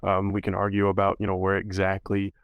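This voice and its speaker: A man's voice: deep voice, monotone, fairly quick, smooth, dry recording, dull audio. A male podcast host chatting casually and rambling, with many fillers. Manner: rambling, full of "you know" and "like". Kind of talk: casual interview chat.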